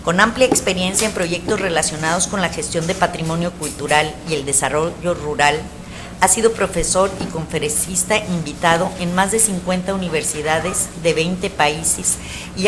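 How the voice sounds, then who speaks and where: A woman's voice: on the low side, noisy background. A woman giving a formal introduction of a guest speaker, reading his credentials with a few fillers. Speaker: event presenter. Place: lecture hall.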